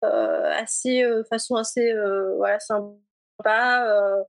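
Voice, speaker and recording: A woman's voice: mid-range voice, steady tone, slow pace, darkish tone, no room sound. A woman in her forties answering interview questions hesitantly, with many fillers and frequent laughs. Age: 40s. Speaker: guest, a reader of the book.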